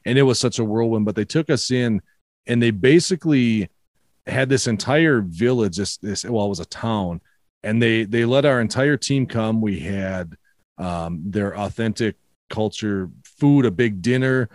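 Low-voiced Minnesota man telling stories. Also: measured pace, no echo, clean studio sound. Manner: warm storytelling, frequent restarts and repeated words. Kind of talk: casual conversation.

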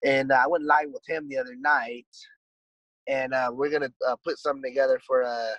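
A man's voice: mid-range voice, fairly even intonation, fairly quick, fairly bright tone, no room sound, lo-fi sound. A man and musician guest in a casual conversation, talking in a laid-back, rambling way with lots of swearing and "dude". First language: English.

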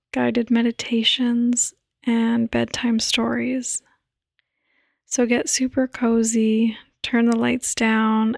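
A woman gently guiding a sleep meditation in a soft, breathy, soothing voice that often nears a whisper, close to the microphone. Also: fairly high pitch, dry recording. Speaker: podcast host and meditation guide. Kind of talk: guided meditation, sleep podcast.

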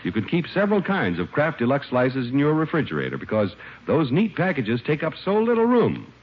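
A man's voice: on the high side, wildly swooping pitch, brisk, breathy edge. A man announcing in 1950s radio style, reading advertising copy and narration with emphatic, polished salesmanship. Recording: muffled old radio recording.

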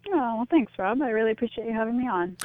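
A woman's voice: fairly high pitch, lively intonation, quick pace, no room sound. A woman speaking as an interview guest over a phone line, conversational and reflective. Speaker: interview guest, healing advocate. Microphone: phone call. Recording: narrow, tinny phone line.

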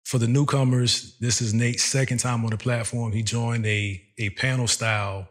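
A man's voice: medium pitch, level tone, normal speed, fairly bright tone. A man hosting a podcast, upbeat and friendly as he chats with his guest. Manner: upbeat, friendly, chuckles often. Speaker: podcast host. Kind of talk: casual interview hosting.

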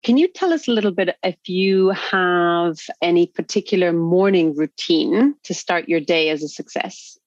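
A woman's voice: on the low side, normal speed, few pauses, lo-fi sound. A woman hosting a podcast, enthusiastically reading a guest introduction and then asking welcoming interview questions. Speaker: podcast host.

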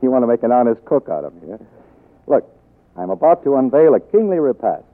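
An American actor playing a charming, faltering film star husband in a 1940s radio drama, fond but wounded, with hesitant, halting speech. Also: male voice, mid-range voice, very animated, natural voice. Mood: fond, teasing. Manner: hesitant, halting, stammered repeats. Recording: muffled 1940s radio broadcast recording.

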